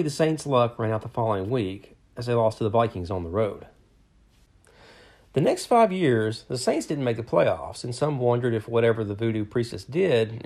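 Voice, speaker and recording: A man co-hosting a podcast reads a scripted history in a steady, measured way. His voice is gravelly and sits in a middle pitch. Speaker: podcast co-host. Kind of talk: scripted narration.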